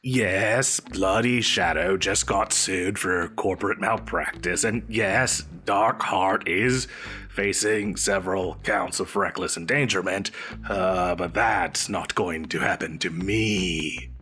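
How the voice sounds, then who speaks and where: A man's voice: sits low, takes it slowly, bright, forceful tone, heavily acted. A man playing a petulant, theatrical supervillain boss in a comedic audio roleplay, with a pompous, melodramatic manner. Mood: indignant, pompous. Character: petulant, theatrical male supervillain boss, grandiose but exasperated.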